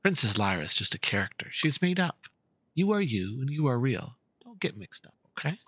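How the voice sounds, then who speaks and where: A man's voice: medium pitch, very animated, fairly quick, a bit bright, breathy edge, no echo. A man performing a dramatic reading as a patient, theatrical storyteller coaxing a young listener through a fairy tale. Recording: music behind the voice.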